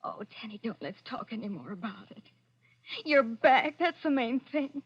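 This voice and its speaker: A woman's voice: a little high, lively intonation, moderate pace, husky. An actress playing an anxious, devoted girlfriend in a 1940s radio thriller, emotional and pleading. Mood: fearful pleading. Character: anxious, devoted noir girlfriend, bitter and impulsive nurse. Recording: muffled, band-limited vintage radio recording.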